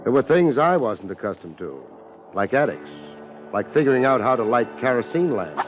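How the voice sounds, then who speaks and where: An actor with an American accent playing a laid-back, sardonic private detective in a 1950s radio drama, deadpan and wisecracking. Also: male voice, medium pitch, normal speed, over loud music, lightly acted. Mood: dry narration. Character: wry, laid-back private detective narrating his case. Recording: muffled, hissy 1950s radio recording.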